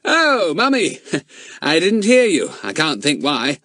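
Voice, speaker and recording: A man's voice: fairly high pitch, wildly swooping pitch, takes it slowly, bright, forceful tone, no echo, clean studio sound, pitched above the performer's own voice, heavily acted. A man voicing a cheeky schoolboy who answers everyone with exaggerated politeness. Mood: cheeky polite.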